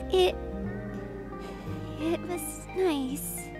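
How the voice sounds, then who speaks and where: A woman voicing Cornelia, a gentle, dreamy young girl who talks softly and sometimes whispers, heard as game audio with music behind. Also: very high-pitched, animated, extremely slow, exaggerated voice. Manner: soft, childlike, sometimes whispering. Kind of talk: voiced game dialogue.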